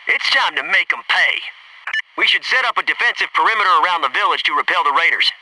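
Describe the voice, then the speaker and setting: An actor playing a brash, wisecracking young American Navy SEAL in a military shooter game, with a cocky, folksy, punchy delivery. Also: male voice, a little high, animated, fast talker, recorded from a distance, background noise, natural voice. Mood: grim, scornful.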